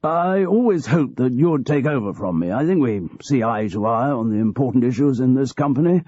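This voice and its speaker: A man's voice: mid-range voice, lively intonation, moderate pace, warm tone, husky, no echo, pitched above the performer's own voice. A man reading the part of an aging, petulant department head who talks in a testy, querulous way, on a dull lo-fi recording. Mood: wistful. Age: older.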